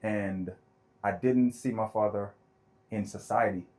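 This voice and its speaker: A man speaks in a fairly low voice as a podcast guest. He works through his thoughts slowly and earnestly, reflecting on his upbringing in a conversational way. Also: fairly even intonation, a little mellow. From born in Florida, grew up in Jamaica. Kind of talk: personal storytelling in conversation.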